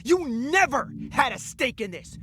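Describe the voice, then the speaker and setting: A man's voice: very high voice, sing-song, unhurried, exaggerated voice. A man playing an earnest, lovestruck and stubbornly determined ordinary guy in an amateur audio drama, emotional, hesitant and then insistent. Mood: exasperated.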